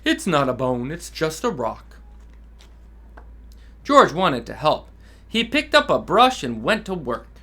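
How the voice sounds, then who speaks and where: A man, a dad, reading a children's picture book aloud with enthusiastic, expressive delivery and asides to kids. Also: on the high side. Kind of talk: children's read-aloud storytelling.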